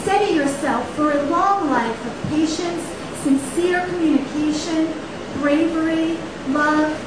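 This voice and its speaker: A woman in her thirties giving a dramatic poetry reading, with emphatic, deliberate phrasing and rhetorical repetition. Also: high-pitched. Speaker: poet reading own work. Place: small indoor poetry venue on stage. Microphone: stand microphone, picked up by camera. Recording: echoey live room, distant camera, faint hiss.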